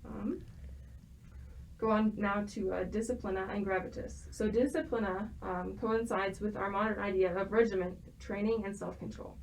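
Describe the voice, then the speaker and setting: A young woman talks through a topic from prepared notes as a student guest. She stops often to hesitate and restart, in a voice of ordinary pitch. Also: normal speed, vocal fry, slight background noise, low-quality recording. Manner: explains from notes with frequent ums and restarts. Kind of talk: prepared explanatory talk.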